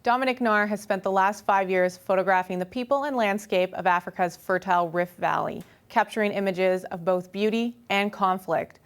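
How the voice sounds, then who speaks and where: A woman hosting a web news show, reading crisp scripted links and chatting conversationally with a guest on a studio mic. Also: mid-range voice. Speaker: host.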